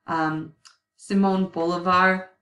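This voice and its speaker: A woman's voice: on the low side, level tone, takes it slowly, fairly bright tone. A woman in her thirties giving a recorded history lecture over slides, chatty and often correcting herself with ums. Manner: conversational, self-correcting, many ums. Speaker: history teacher. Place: indoor room. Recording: roomy, slightly distant webcam audio.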